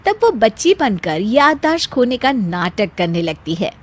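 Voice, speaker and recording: A woman's voice: on the high side, very animated, measured pace. A woman narrating a scripted cartoon story as a storyteller.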